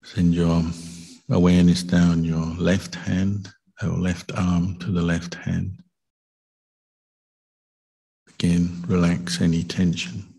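A man with a very low, husky voice guides a meditation. His delivery is calm and very slow, with long pauses between short phrases. The intonation stays flat and gentle, like a patient teacher giving instructions. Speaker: Buddhist monk meditation teacher.

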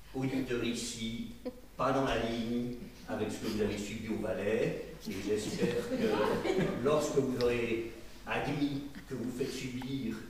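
A man's voice: medium pitch, recorded from a distance. Male debate speaker, rhetorical and ironic, recorded in a very echoey room with faint noise. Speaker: audience member and journalist reading questions. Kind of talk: public literary debate remarks. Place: small dim event venue with audience.

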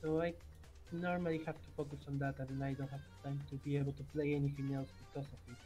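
A woman's voice: rumbling low, level tone, quick pace, loud music behind the voice. A woman role-playing over voice chat, hesitant and rambling as she introduces her character.

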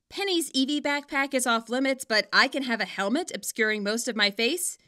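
A woman narrates scripted commentary and stories in a fairly high, bright voice. Her delivery is playful and wry, with lively rises and falls in pitch. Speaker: fan fiction author and video narrator.

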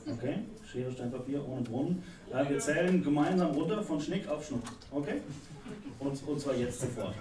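Lively middle-aged man hosting a slam. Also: mid-range voice, crowd noise. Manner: playful, drawn-out announcing. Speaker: slam host. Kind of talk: live event hosting. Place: theatre stage. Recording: echoey hall from a distance, audible noise.

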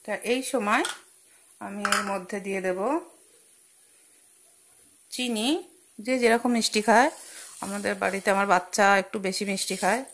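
A woman's voice: mid-range voice, a bit bright, slight background noise. Young woman, home cook host, chatty step-by-step talk, sizzling pan behind, phone-recorded kitchen. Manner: chatty, explains each step with fillers and asides. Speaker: cooking channel host. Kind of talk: casual cooking demonstration voice-over. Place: home kitchen at a gas stove. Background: frying and sizzling from the pan.

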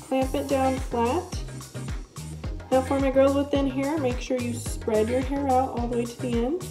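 A woman's voice: high voice, level tone, measured pace. A young woman giving a casual, informal demonstration in a chatty way, recorded indoors in a living room. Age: young adult. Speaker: product presenter. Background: music in the background.

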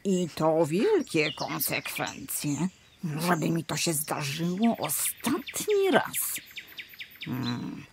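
Slow, gravelly mother bear for a kids' radio play, lively pitch, noisy track. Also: heavily acted. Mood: motherly. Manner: slow, scolding then tender. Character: stern motherly female bear. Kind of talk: children's radio play acting. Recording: noisy background.

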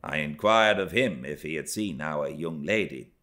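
A middle-aged British man reading a classic novel aloud as an expressive narrator. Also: very deep voice, normal speed. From Britain. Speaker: audiobook narrator. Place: armchair by a fireplace in a living room.

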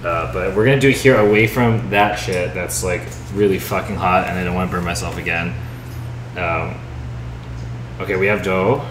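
Low-voiced rambling man, noisy roomy recording. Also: fairly even intonation, moderate pace. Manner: rambling, muttering to himself, frequent swearing. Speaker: live-stream host. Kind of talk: casual live cooking commentary, thinking aloud. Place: home kitchen, indoors. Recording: roomy, distant mic with loud noise, clicks.

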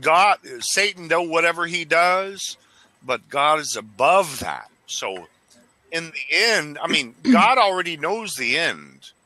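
A man's voice: a little high, very animated, slow pace, pushed and bright, no echo, rough recording. A man in his forties from Arizona, calling into a video chat and talking fervently about faith, rambling and tripping over words. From Arizona, United States. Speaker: caller. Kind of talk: casual religious video chat. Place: small dim room indoors. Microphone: headset.

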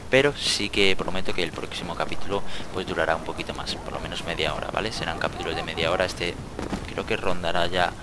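A man's voice: low voice, fairly even intonation, fast, very noisy. A man with an accent from Spain, giving casual gameplay commentary as a channel host.

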